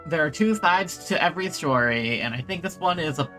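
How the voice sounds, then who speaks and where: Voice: medium pitch, animated, a little fast, music in the background. A game streamer giving casual, gushing, exclamatory commentary while playing.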